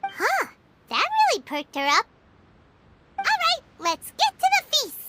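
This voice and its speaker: A woman voicing a tiny, chirpy and fussy childlike fairy companion in an anime-style video game, with a squeaky, exclaiming delivery. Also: wildly swooping pitch, measured pace, sharp tone, full character voice. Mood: cheerful. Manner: exclaiming, refers to herself in third person. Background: music.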